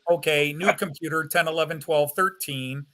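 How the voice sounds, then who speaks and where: A man's voice: a little high, steady tone, normal speed, sharp tone. An American man in his fifties chatting casually on a live call, joking and self-deprecating. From United States. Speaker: model railway streamer.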